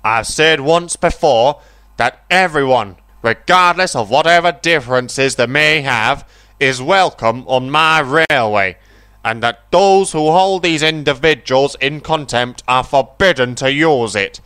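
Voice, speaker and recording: A man's voice: medium pitch, unhurried, pushed and bright, clear, resonant tone, dry recording, voice pushed up. A man voicing a stern, fair-minded male railway boss in a children's story, speaking formally and emphatically. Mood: grave, formal. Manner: formal, emphatic speech-making.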